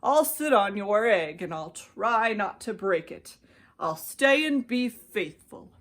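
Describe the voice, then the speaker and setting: A woman voicing a huge, kindly male storybook elephant with slow, emphatic, earnest speech. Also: mid-range voice, animated, fairly bright tone. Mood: earnest, resolved. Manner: slow, emphatic, drawn-out delivery. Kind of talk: children's storybook character voice.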